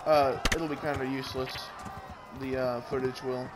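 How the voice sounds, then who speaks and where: A man's voice: medium pitch, little pitch movement, moderate pace. A man giving casual let's-play commentary, talking to the game's characters and swearing mildly as he plays. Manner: mild swearing, talks to game characters. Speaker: gamer narrating his playthrough. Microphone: Bluetooth headset. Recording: noisy, grainy Bluetooth headset audio with game sounds. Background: game audio with horse hooves.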